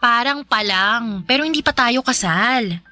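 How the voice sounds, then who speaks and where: A woman's voice: on the high side, animated, measured pace, pushed and bright. A woman playing an affectionate young Filipino girlfriend in a radio drama, casual and teasing in a naturalistic conversational way. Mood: flustered, protesting. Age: young adult. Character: affectionate young live-in girlfriend, playful but hesitant about marriage. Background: music.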